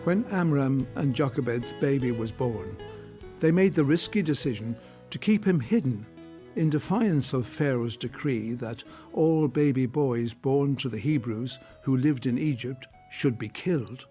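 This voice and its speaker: A man's voice: mid-range voice, unhurried, dark tone, soft background music. A man narrating a scripted story for an audio drama.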